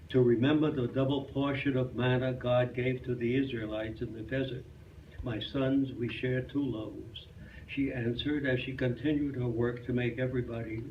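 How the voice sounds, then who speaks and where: A man's voice: medium pitch, a little hiss or hum. A man reading a folk tale aloud as a storyteller at a live club performance. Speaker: storyteller at a club reader's theater. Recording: echoey, muffled, distant live room recording.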